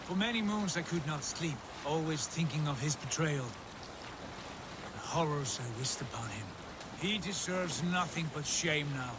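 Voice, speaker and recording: An actor playing a proud, embittered Viking lord in a video game, speaking in a solemn, declamatory way. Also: male voice, a little high, expressive, unhurried, noisy background. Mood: bitter. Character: embittered, proud Viking lord, grown man, fervent and brooding. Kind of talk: dramatic video game acting.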